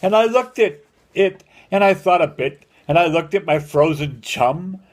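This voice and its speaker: A man's voice: high-pitched, lively intonation, a little slow. An older man reading a narrative poem aloud as a grandfather would, with a rhythmic, theatrical storytelling delivery. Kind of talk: dramatic amateur poem recitation.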